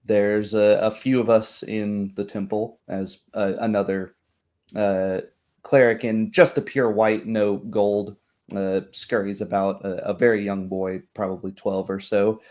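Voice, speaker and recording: Low-voiced man narrating as game master. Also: little pitch movement, measured pace, darkish tone. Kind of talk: improvised narration of a tabletop roleplay.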